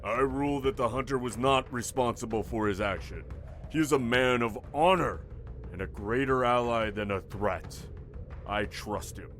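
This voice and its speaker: An actor playing a loyal, earnest ally in a fantasy audio drama, firm and declarative. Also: male voice, mid-range voice, moderate pace, a bit bright, slight background noise, natural voice. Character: loyal, earnest male ally defending the Hunter.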